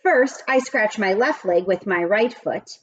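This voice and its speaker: A woman's voice: mid-range voice, expressive, a little slow, slightly rough, muffled recording. A woman reading a children's storybook, voicing a matter-of-fact schoolgirl full of odd, practical advice. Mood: breezy, practical. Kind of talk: children's storybook read-aloud.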